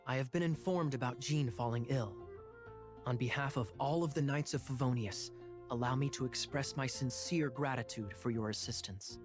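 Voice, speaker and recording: A man plays a sly young knight captain for an anime game. He talks smoothly and flatteringly in a mid-pitched voice, with formal courtesy and faint music behind. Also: normal speed, natural voice. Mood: courteous, teasing. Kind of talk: English anime game voice acting.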